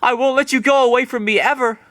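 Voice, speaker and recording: A man voicing a bubbly, excitable young woman in a raised, gushing voice. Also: fast talker, dry recording, clean studio sound. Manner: high and gushing.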